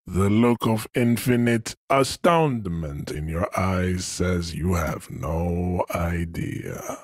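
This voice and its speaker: An actor playing an arrogant hyper-masculine strongman philosopher in a video game, lecturing with pompous, deliberate condescension. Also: lowish voice, no echo, clean studio sound. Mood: disdainful. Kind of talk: video game character acting.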